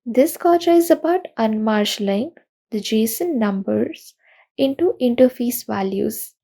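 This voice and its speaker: A young woman speaking at a programming conference, walking through technical pitfalls one by one. Her voice sits a little high but has a mellow, dark tone, with a lot of gravelly fry at the ends of phrases. She explains in an earnest, steady manner. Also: a little slow. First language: not Russian. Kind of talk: recorded technical talk.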